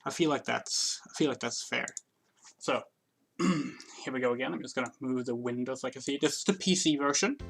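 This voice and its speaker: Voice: medium pitch, fairly quick, creaky, a bit bright, husky. A game streamer giving casual, rambling, explanatory livestream commentary in a hesitant way. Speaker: game streamer, channel host. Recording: game music behind the voice.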